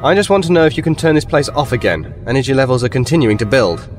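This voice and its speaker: A man's voice: mid-range voice, very animated, fast talker, close to an everyday voice. A man playing an impatient, suspicious military captain in a science fiction audio drama, brusque and commanding. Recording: loud music behind the voice.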